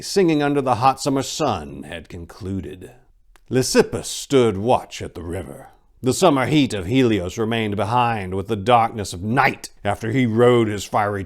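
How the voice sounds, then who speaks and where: A man narrating a story in a dramatic, theatrical way, with deliberate phrasing and emphatic swells. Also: on the low side. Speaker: podcast host and narrator.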